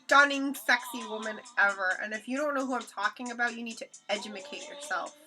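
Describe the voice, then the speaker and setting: Bright, high-voiced woman chatting on stream. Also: brisk, soft background music, rough recording. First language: Hebrew. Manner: chatty, swears freely, laughs often. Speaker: livestream host. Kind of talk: casual chat reading viewer comments. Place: home studio while painting.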